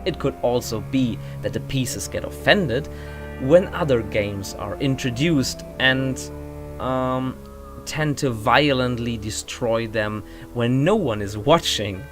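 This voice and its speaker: A woman's voice: rumbling low, takes it slowly. A woman playing a fussy, courteous steward of a magical mansion, giving a guided tour in a pompous, formal, theatrical audio drama manner. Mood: earnest, reassuring. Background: music.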